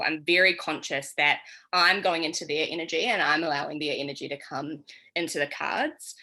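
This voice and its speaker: A woman's voice: low voice, sharp tone. A young woman with a slight New Zealand accent teaching a casual tarot class, rambling and chatty with many fillers.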